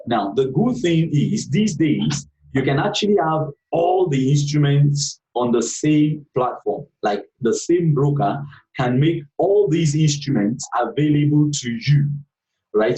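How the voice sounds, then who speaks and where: A man's voice: mid-range voice, a little mellow, recorded from a distance. A man teaching beginners in a live online class, going over each point patiently and checking in with the group again and again. His voice sounds set back from the mic, with a roomy echo around it. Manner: patient, repeats points, frequent "okay" checks. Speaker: trading instructor.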